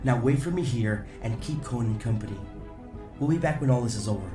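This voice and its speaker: An actor playing a firm, protective security officer in an audio drama, earnest and decisive. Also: male voice, medium pitch, expressive, fairly quick, lightly acted. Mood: firm, caring. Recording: echoey room with music.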